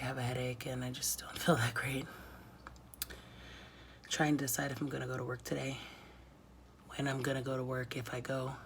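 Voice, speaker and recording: Woman, thirties, very low and monotone, congested and whispery, hesitant reflective vlog talk. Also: creaky, slight background noise. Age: 30s. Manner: halting, reflective, many pauses and fillers. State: congested, losing her voice. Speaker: vlogger. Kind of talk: casual confessional vlog.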